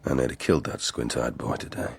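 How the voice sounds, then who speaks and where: An actor playing a proud, pious, hot-tempered knight in a radio drama, with intense, declamatory delivery. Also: male voice, very deep voice, fairly even intonation, quick pace, gravelly, slightly rough, exaggerated voice. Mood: hushed, tormented.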